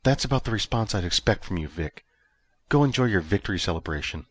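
A man's voice: low-pitched, animated, fast talker, slightly rough, dry recording. A man voicing a curt, fed-up armored woman. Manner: curt, clipped. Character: stern, fed-up young woman, armored bodyguard.

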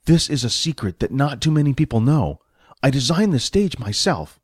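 A man playing an eager, animated stage manager. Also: mid-range voice, normal speed, husky, dry recording, clean studio sound. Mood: proud, excited. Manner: eager, chatty, enthusiastic explainer. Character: cheerful, proud middle-aged male backstage general manager. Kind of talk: audiobook character voice.

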